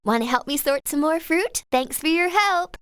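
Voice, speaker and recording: A woman's voice: high voice, expressive, moderate pace, a bit bright, no echo, clean studio sound. A woman playing a friendly small-town shopkeeper in a children's video game, cheerful and welcoming. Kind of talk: video game character acting.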